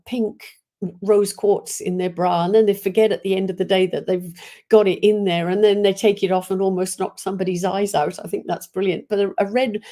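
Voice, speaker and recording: A woman's voice: mid-range voice, warm tone. A woman chatting casually and enthusiastically to viewers on a livestream, rambling from one thought to the next. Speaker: spiritual medium hosting a live online chat.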